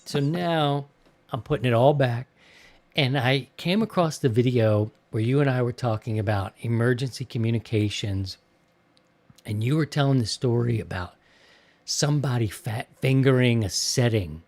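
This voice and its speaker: Guy hosting a podcast, chatting casually, excited and kinda rambly. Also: mid-range voice, normal speed. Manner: enthusiastic, rambling. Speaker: podcast host. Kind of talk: casual chat.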